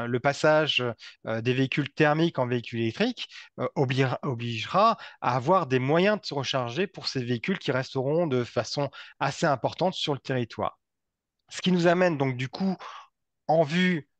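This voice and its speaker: A man giving a semi-improvised technical presentation, speaking haltingly and often repeating and rephrasing his words. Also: mid-range voice, dry recording. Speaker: presenter from a local energy body.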